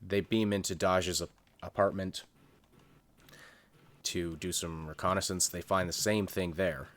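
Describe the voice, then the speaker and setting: A man's voice: low-pitched, fairly even intonation, a little slow, a bit bright. A man co-hosting a casual, unscripted TV review, thinking aloud in a hesitant, rambling way. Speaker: co-host of a review show.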